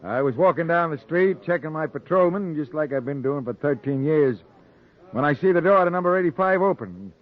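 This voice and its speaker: Tinny old-radio police sergeant, pitched high man acting. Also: animated, brisk, lightly acted. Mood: matter-of-fact. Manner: folksy, ungrammatical storytelling. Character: plain-spoken veteran police sergeant on the beat. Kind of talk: 1940s American radio noir acting. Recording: tinny, dry 1940s radio recording.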